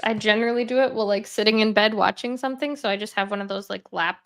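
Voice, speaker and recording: Gravelly-voiced woman chatting casually. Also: medium pitch, steady tone, fast. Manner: chatty, rambling with many fillers. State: congested. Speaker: host. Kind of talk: casual chat. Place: at home, sitting in bed.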